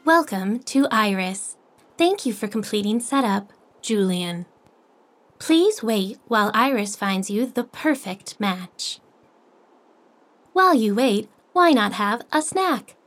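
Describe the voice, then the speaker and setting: A woman's voice: medium pitch, expressive, slow, a bit bright. A woman playing a polite, relentlessly upbeat AI voice assistant with a scripted, salesy courtesy, in an audio drama. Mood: smooth, cheerful politeness.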